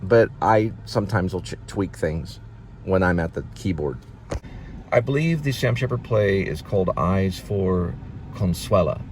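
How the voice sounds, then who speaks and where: A middle-aged man with a fairly low voice talks casually, as if to his own vlog viewers. He rambles through memories, stopping and restarting as he hunts for the right word. Faint ambient noise sits behind him. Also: lively intonation, a little mellow. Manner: halting, reminiscing, searching for words. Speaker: video show host, actor and playwright.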